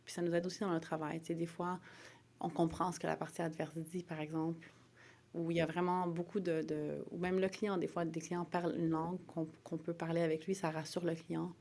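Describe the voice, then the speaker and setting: A woman with a very low voice and a clear vocal fry gives conversational interview answers. She has a Quebec French accent and feels her way through sentences, repeating and rephrasing. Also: flat delivery, a little fast, a little mellow. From Montreal, Quebec, Canada. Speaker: interview guest, lawyer.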